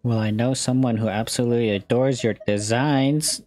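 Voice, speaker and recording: A woman's voice: extremely low pitch, fairly even intonation, normal speed, darkish tone. A woman giving casual, playful commentary over a game playthrough, slangy and chatty. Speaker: gaming video creator.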